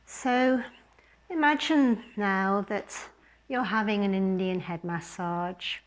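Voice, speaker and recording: Female yoga teacher, unhurried gentle delivery for guided relaxation, mid-pitched. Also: rough recording. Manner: gentle, unhurried, with long pauses between short phrases.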